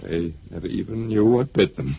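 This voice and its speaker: An actor playing the wry, gentle last man on earth in a 1950s radio drama, musing and coaxing hesitantly. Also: fairly low pitch, normal speed, creaky, slightly rough. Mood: wry, explaining. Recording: muffled, hissy vintage radio recording.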